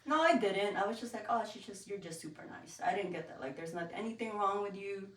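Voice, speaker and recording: A woman's voice: medium pitch, expressive, fast talker, a little hiss or hum. Candid woman in a roomy, distant recording. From Philippines and Guam. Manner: candid, informal. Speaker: podcast guest. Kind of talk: casual personal conversation. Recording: roomy echo, distant from the mic.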